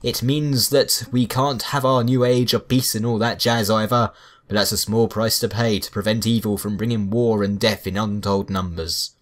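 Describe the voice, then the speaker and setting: A man's voice: lowish voice, steady tone, moderate pace. A man giving a scripted video game review with wry, sarcastic asides. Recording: music behind the voice. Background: background music.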